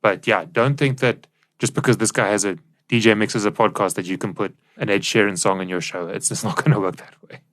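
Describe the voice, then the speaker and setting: A man's voice: fairly low pitch, fairly even intonation, brisk, fairly bright tone, no echo, clean studio sound. A plain-spoken, emphatic man explaining things conversationally as a podcast co-host.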